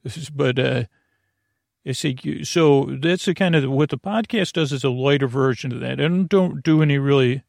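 A man hosting a bedtime podcast in a rambling, halting monologue, whimsical and gently reassuring, often repeating himself and trailing off. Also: medium pitch, vocal fry, no room sound, clean studio sound. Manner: meandering, halting, repeats and trails off mid-sentence. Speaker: podcast host.

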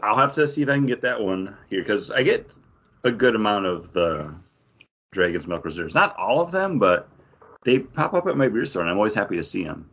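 A man's voice: fairly low pitch, sing-song, fairly quick, a bit bright, husky. A man chatting casually as a podcast co-host. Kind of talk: casual chat. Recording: dull lo-fi audio.

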